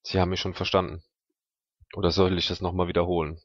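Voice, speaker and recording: A man voicing a secretive, insistent caller in a tabletop game, speaking formally and deliberately as he offers deals. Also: low-pitched, monotone, no echo, highs cut off. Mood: cold, matter-of-fact.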